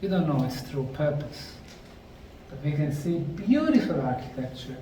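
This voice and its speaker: A middle-aged man slowly narrating a documentary on location at ancient ruins, explaining the sites like a tour guide. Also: fairly high pitch, big pitch swings, mellow, a little hiss or hum. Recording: roomy, distant mic with faint outdoor ambience.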